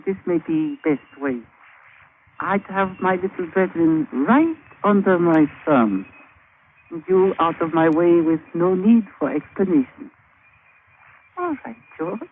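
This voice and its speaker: A scheming island witch doctor with a high, very animated voice. He talks in clipped broken English, sly and menacingly amused. It sounds like a tinny old radio drama recording. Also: slow pace, slight background noise.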